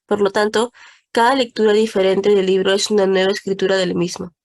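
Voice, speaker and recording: A woman's voice: mid-range voice, level tone, a little fast. A young woman with a Peruvian Spanish accent, a student reading a passage aloud in class.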